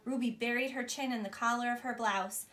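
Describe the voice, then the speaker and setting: A woman in her thirties reading a storybook aloud to a child in an expressive, teacherly way. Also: on the high side, brisk. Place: small bedroom, on a bed. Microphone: camera a few feet away.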